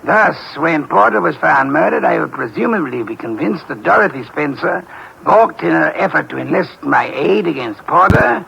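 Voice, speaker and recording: A pompous, precise detective in an old radio mystery. His gravelly voice sits a bit high and sounds bright. A faint hiss runs under the vintage broadcast. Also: animated, moderate pace, lo-fi sound, exaggerated voice. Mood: lecturing. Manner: pompous, precise, lordly diction. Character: pompous, portly detective, older man. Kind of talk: 1950s radio drama acting.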